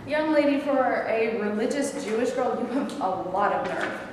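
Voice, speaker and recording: A woman playing a sharp-tongued, protective religious mother in a staged play reading. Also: on the high side, expressive, normal speed, close to an everyday voice. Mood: scornful, angry. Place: live staged reading in a hall. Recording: echoey hall, distant mic, faint noise.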